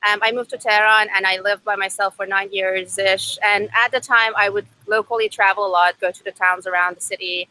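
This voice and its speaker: A woman's voice: mid-range voice, steady tone, fairly quick, hardly any pauses, bright, forceful tone, poor audio. A young woman with an Iranian accent, a native Farsi speaker, telling her own story conversationally as a podcast guest. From Iran. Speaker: podcast guest, startup co-founder. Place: outdoors by a river. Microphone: video call.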